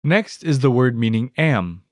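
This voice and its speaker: A male narrator for a language course, reading scripted prompts in an even, orderly pattern. His pitch moves a lot, and the sound is dry and close. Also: unhurried, dry recording. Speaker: lesson narrator.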